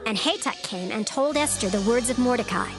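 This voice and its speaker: A woman giving a solemn, measured scripted narration of scripture. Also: fairly high pitch, animated. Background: music.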